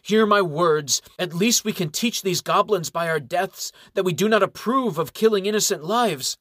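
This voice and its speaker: A feisty, outspoken monk who cries out in a pitched-up, strained voice full of protest, voiced by a male narrator. The recording is dry and close, with no room around it. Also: very animated, brisk, pushed and bright, dry recording, exaggerated voice. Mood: defiant. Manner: high, shrill, outraged pleading.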